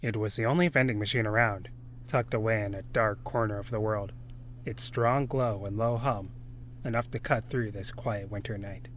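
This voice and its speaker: A man's voice: fairly low pitch, fairly even intonation, normal speed, slight background noise. A man narrating a scripted story as a storyteller. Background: music.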